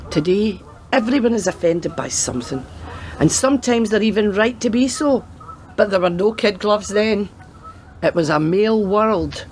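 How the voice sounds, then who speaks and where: A woman in a radio play acting a world-weary, sceptical grandmother who used to be a policewoman, speaking in a dry, measured way. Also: mid-range voice, animated, a little mellow, slight background noise, natural voice. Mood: weary, reflective.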